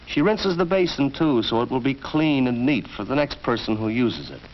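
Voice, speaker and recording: Man, American accent, 1940s school film narrator, instructive storytelling, animated pitch, dull vintage soundtrack. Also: moderate pace, slight background noise.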